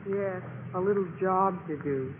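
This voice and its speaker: An actress playing a confident, caring young college woman, brisk and reassuring, in a 1950s radio drama. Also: lowish voice, natural voice. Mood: flustered. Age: young adult. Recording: noisy, tinny 1950s radio broadcast recording.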